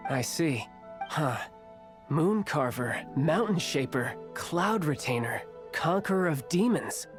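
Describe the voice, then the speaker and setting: A man's voice: a little high, expressive, slow, music in the background. Sly young man, lightly teasing. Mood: musing. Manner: polite, knowing, playful. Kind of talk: video game voice acting.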